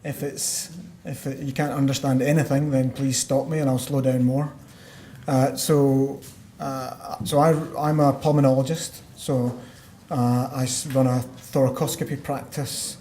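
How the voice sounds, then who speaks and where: A Scottish man, a lung doctor, giving a measured medical conference talk with frequent fillers and restarts. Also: mid-range voice, level tone, warm tone. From Scotland. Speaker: pulmonologist giving a conference lecture. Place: conference hall. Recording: roomy hall sound, faint background noise.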